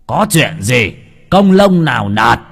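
A man voicing an alarmed older village head, shouting urgent questions. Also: mid-range voice, big pitch swings, takes it slowly, vocal fry, slightly rough, voice pushed up, exaggerated voice.

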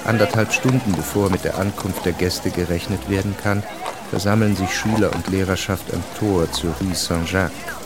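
A man's voice: low voice, fairly even intonation, normal speed, heavy background noise. A man reading scripted narration for a radio drama. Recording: music bed under the voice.

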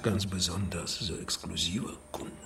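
An actor playing a haughty, discreet underworld middleman in a noir radio drama, speaking formally and evasively with a creaky voice. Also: low voice, fairly even intonation, normal speed. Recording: distant mic with background noise.

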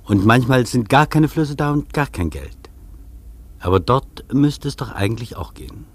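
Earnest traveller, middle-pitched male voice, reflective, rhetorical, literary radio-play delivery. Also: animated, normal speed. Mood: earnest, circling. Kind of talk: scripted German radio feature, literary narration.

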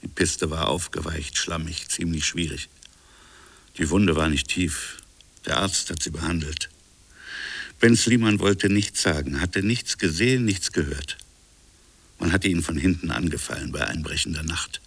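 A man playing a reflective French colonial official in a literary radio drama, recalling a buried affair in musing, fragmentary phrases with a gravelly, husky voice. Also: sits low, a little slow, fairly bright tone, no echo, heavily acted. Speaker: narrator and protagonist.

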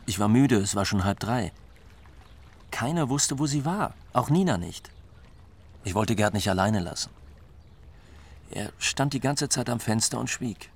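A man's voice: mid-range voice, expressive, a little slow, long pauses, husky. An actor playing a laconic, wry male friend giving his account in an interview-like radio drama. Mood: tired, reflective.

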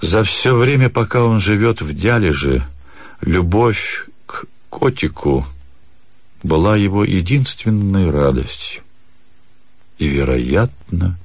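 A man reading a literary story theatrically, with expressive, measured phrasing and dramatic pauses. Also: low-pitched, no echo. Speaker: actor reading a short story. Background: music.

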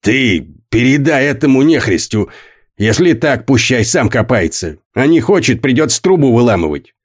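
A man's voice: medium pitch, big pitch swings, moderate pace, husky, voice pushed up. A man voicing a coarse, brutal middle-aged foreman who bullies and barks at boys, read as part of an audiobook. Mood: barking. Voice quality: coarse, bullying, barking. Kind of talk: audiobook character voice.

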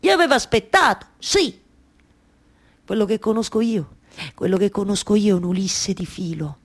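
A woman acts a long-waiting wife from a radio play, with a husky voice and a Roman accent. She is weary, exasperated and tender at once. Her theatrical monologue circles back on words and phrases, halting and starting again. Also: mid-range voice, animated. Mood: pleading, exasperated.

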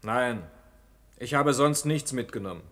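An actor playing an evasive, nervous defendant in a German radio play, halting and defensive under questioning. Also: male voice, medium pitch, fairly bright tone, lightly acted.

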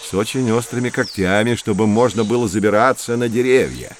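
A man's voice: lowish voice, lively intonation, a little fast, a little hiss or hum, close to an everyday voice. A man playing a friendly professor who explains things expressively to children in an educational audio drama. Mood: explaining. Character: friendly male professor guiding curious children on adventures.

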